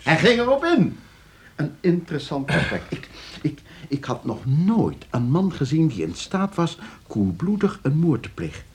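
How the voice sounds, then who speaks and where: An actor in a radio play playing a smug, cold-blooded schemer who explains things calmly and tauntingly to friends. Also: male voice, on the high side, moderate pace, slight background noise. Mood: coolly explaining.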